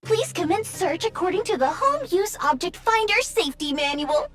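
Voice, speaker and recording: A woman's voice: very high-pitched, animated, moderate pace, a bit bright. A woman voicing a small, cheerful helper robot in a video game, with chirpy, formulaic machine phrasing. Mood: businesslike, chirpy. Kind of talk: video game cutscene acting. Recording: prominent music behind the voice.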